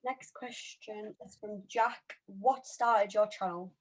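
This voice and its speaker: Young woman, twenty, casual chat, giggly storyteller, medium pitch, co-host. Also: slow pace, low-quality recording. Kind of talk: casual chatty Q&A.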